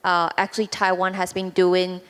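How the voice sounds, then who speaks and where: A woman's voice: fairly low pitch, monotone, moderate pace, clear, resonant tone. A woman speaking as a panelist and event organizer, chatty with many fillers. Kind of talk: casual panel talk. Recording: long reverb of a large hall.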